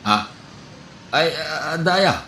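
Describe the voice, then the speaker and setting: A man playing a flustered, excitable jeepney driver in an Ilocano comedy drama, stammering and exclaiming, with an Ilocano accent. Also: on the high side, extremely slow, vocal fry, a little hiss or hum, full character voice. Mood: nervous, stammering. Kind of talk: comedy radio-drama acting. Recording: roomy, echoey voice recording.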